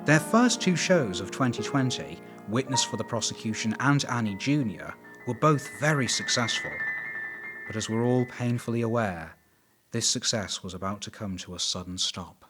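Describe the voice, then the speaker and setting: A man's voice: medium pitch, expressive, moderate pace, music in the background. A man reading scripted documentary narration.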